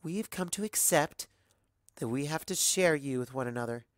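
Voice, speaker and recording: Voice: medium pitch, normal speed, no room sound, studio quality, voice pushed up, natural voice. An adult narrator voicing an affectionate, teasing, protective mare and wife in a fan fiction reading.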